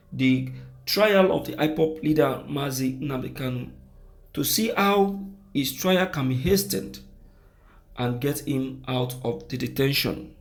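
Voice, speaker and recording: A man's voice: mid-range voice, darkish tone. A man with a Nigerian accent reading out news and giving impassioned commentary, repeating phrases for emphasis.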